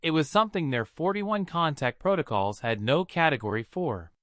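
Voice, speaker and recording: A man narrating a scripted science fiction story in a dramatic, deliberate manner, in short measured phrases. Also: on the high side, expressive, fairly bright tone, no echo, studio quality.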